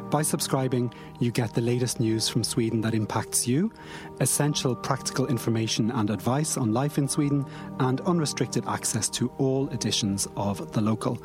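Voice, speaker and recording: A man hosting a podcast, reading a measured scripted introduction and asking interview questions. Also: mid-range voice, music in the background. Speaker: podcast host.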